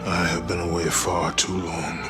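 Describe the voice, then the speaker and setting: An actor playing a stern, brooding Spartan warrior in a video game, speaking in terse, grave, formal lines. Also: male voice, sits low, little pitch movement, unhurried, loud music behind the voice. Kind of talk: video game cutscene acting.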